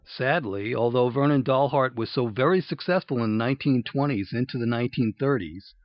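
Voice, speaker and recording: A man's voice: medium pitch, a little fast, no room sound, muffled recording. A man presenting vintage records in a scripted narration.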